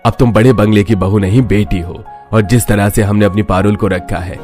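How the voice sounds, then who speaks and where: A man's voice: on the low side, steady tone, normal speed, a little mellow, close to an everyday voice. A man playing a kindly, protective older father-in-law in a cartoon family story. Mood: gentle, reassuring. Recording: music bed under the voice.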